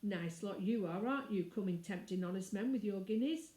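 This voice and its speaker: A woman voicing a gruff, bullying man who deals in horses, with rustic, taunting speech. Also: mid-range voice, fairly quick, dark tone, echoey room, close to an everyday voice. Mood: sarcastic. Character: gruff, sneering, bullying male horse dealer.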